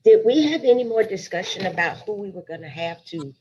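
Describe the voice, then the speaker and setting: A woman's voice: medium pitch, lively intonation, a little fast, mellow. A woman speaking as a director in a formal virtual meeting, direct in manner. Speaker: board director. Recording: muffled lo-fi call, faint noise.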